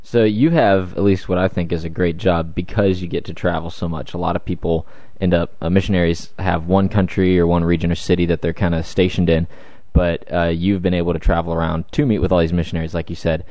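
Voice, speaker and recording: A man who grew up in the Ivory Coast, interviewing a guest with steady, measured questions. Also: sits low, no room sound.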